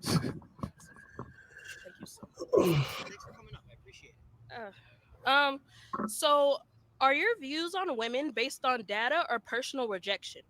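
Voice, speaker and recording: A woman's voice: high-pitched, lively intonation, measured pace, long pauses, fairly bright tone, slight background noise. A woman questioning a debater in a pointed, sarcastic way.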